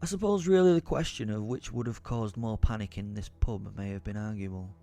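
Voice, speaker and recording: A man's voice: fairly low pitch, a little fast, dark tone, natural voice. An actor playing a foul-mouthed, ranting comic werewolf who narrates his own audio drama in a theatrical, rambling, sweary way. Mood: wry, confiding. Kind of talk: dramatised first-person storytelling. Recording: music under the voice.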